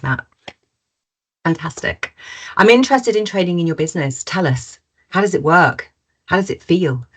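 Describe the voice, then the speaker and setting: A woman's voice: low-pitched, slightly rough. A woman hosting a webinar in an informal, chatty style, with colloquial sales patter. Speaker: webinar host.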